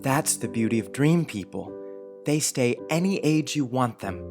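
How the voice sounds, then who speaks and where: A man voicing an earnest, dreamy boy of about eleven in a storybook reading, speaking gravely and confidingly. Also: mid-range voice, lively intonation, normal speed, music in the background, lightly acted. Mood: earnest, eager. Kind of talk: dramatized audiobook character reading.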